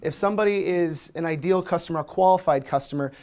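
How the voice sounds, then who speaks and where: A man's voice: on the high side, fast talker. An American man from Washington, D.C., in his thirties, giving a casual, chatty conference talk with plenty of fillers. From Washington, D.C., USA. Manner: relaxed, chatty, many fillers. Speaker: conference speaker. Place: meetup room with projector screen.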